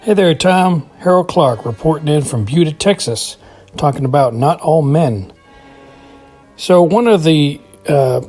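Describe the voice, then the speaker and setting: A man with a medium-pitched voice records informal feedback for a podcast. He works through his points tentatively, in halting phrases. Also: darkish tone. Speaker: podcast listener calling in feedback. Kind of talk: informal spoken commentary.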